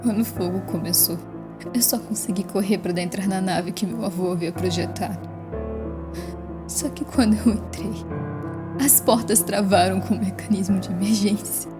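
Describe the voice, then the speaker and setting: An actress playing a sorrowful alien survivor of a destroyed city in an audio drama monologue. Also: female voice, mid-range voice, fairly even intonation, moderate pace, close to an everyday voice. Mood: sorrowful, indignant. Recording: voice under prominent music. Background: music.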